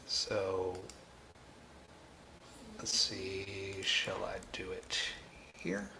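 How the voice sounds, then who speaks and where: A man hosting a live coding tutorial, thinking aloud in a halting way and repeating phrases as he reasons. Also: low voice, sing-song, vocal fry, background noise. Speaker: programming tutor and livestream host.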